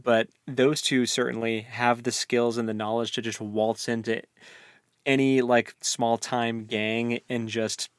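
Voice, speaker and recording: A man with a medium-pitched voice, recorded dry with little room sound. He co-hosts a casual book discussion and talks informally, with plenty of slang. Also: level tone, a little slow, clear, resonant tone, dry recording. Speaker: podcast host.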